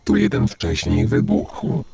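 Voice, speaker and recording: A man's voice: sits low, wildly swooping pitch, slow, gravelly, mellow, slight background noise. A man narrating a video game as a story, speaking as the player character.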